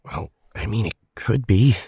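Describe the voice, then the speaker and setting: A man's voice: fairly low pitch, a little slow, warm tone, husky, no room sound, studio quality. A man playing a loyal, reluctant teenage hero in an audio drama, hesitant and defensive in a conversational way.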